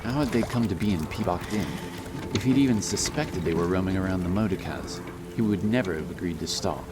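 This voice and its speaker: A man narrating a fantasy audiobook in a measured, solemn, dramatic storytelling style. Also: sits low, heavy background noise. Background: background music and sound effects.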